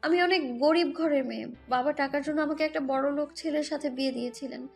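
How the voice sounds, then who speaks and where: A woman's voice: sits high, fairly quick, creaky, faint music behind. A woman playing a helpless young mother in a romantic audio story, pleading and submissive. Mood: downcast.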